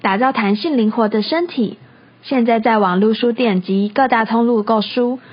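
A woman's voice: on the high side, moderate pace, breathy edge. A woman hosting and narrating a scripted comedic radio skit in storybook style. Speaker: host and narrator, bookstore clerk. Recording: slightly muffled recording.

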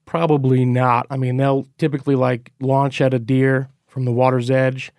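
A man's voice: medium pitch, little pitch movement, moderate pace, darkish tone, clean tone, no room sound, clean studio sound. A man in a casual podcast chat, talking in a laid-back, hesitant way.

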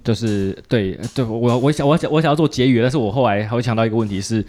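A man's voice: medium pitch, fast, darkish tone. A man with a Taiwanese Mandarin accent hosting a casual podcast chat in an informal, joking way, often repeating short interjections. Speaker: podcast host.

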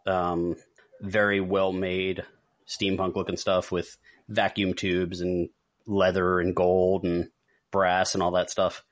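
A man's voice: low voice, monotone, slow pace. A man co-hosting a podcast, chatting casually. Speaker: podcast co-host. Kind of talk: casual chat.

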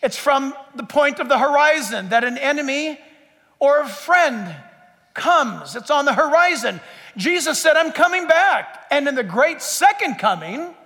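A man's voice: very high-pitched, sharp tone, long reverb tail. A man preaching a sermon as pastor, with an emphatic pulpit cadence.

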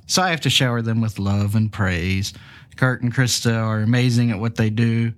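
Guy w a head cold hosting a true crime podcast, joking around, mid pitch. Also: moderate pace. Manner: jokey, casual asides. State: stuffy from a cold. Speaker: podcast host.

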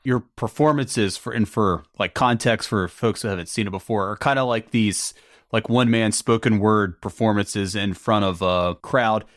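A man hosting a podcast, relaxed and conversational as he asks questions and adds context. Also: fairly low pitch, moderate pace, no room sound, clean studio sound. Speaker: podcast host. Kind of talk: conversational interviewing.

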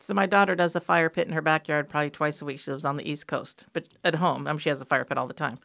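A middle-aged woman co-hosting a podcast, chatting expressively and chiming in with quick agreement. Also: deep voice, steady tone, vocal fry, a bit bright, dry recording. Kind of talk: casual podcast chat. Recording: faint guitar music behind.

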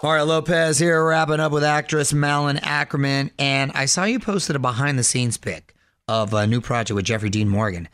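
A man's voice: mid-range voice, steady tone, a bit bright, clear, resonant tone. A man hosting a radio show, chatting casually with a guest and reading entertainment news in an upbeat way. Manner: upbeat, chatty, quick asides.

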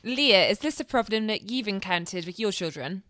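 A woman hosting a discussion, putting questions in a wry, measured way. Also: medium pitch, lively intonation, fairly bright tone, no room sound. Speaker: host. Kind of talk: discussion interviewing.

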